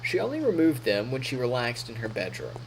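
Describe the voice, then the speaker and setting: A man's voice: medium pitch, wildly swooping pitch, a little fast, creaky, slight background noise, low-quality recording. A man live streaming, reading story narration aloud in a playful, theatrical way with casual asides.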